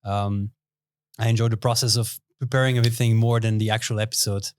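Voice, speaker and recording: A young man hosting a casual podcast interview in a small studio, relaxed and chatty with lots of fillers. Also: lowish voice, fairly quick, clear, resonant tone, no echo. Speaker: podcast host.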